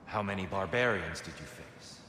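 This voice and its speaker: A man playing a wise, patient ancient god who mentors a warrior in a video game, speaking in a measured, formal way. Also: deep voice, expressive, a little hiss or hum. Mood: solemn, earnest. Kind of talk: dramatic video game acting. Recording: echoey long-reverb hall, loud music behind.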